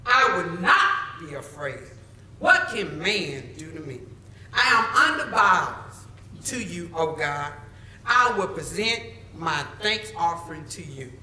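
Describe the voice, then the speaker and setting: A woman speaks at the public comment stand of a board meeting, declaiming scripture in a slow, solemn, preacher-like cadence. Her tone is bright and piercing and her delivery very slow. The recording carries the echo of a large meeting room. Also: fairly low pitch, very animated. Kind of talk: reciting scripture, preacher-like address.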